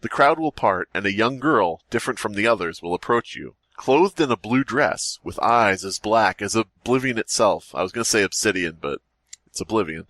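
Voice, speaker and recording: Animated man joking with podcast friends. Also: on the low side, moderate pace, no echo. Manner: jokey, slips into mock quotes. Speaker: podcast co-host. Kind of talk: casual comic banter and reading horror stories aloud.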